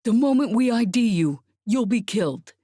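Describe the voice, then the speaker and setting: A woman's voice: a little high, expressive, moderate pace, mellow, no echo, clean studio sound, pitched above the performer's own voice. A woman voicing a stern soldier commander making amplified threats in an audiobook. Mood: stern, threatening. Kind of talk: audiobook character acting.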